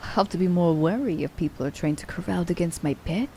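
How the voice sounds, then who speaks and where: A woman's voice: fairly low pitch, fairly quick, dark tone, a little hiss or hum, natural voice. A woman playing a sly, commanding assassin who teases her captive werewolf in an ASMR roleplay, with a haughty, teasing manner. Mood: teasing, relaxed.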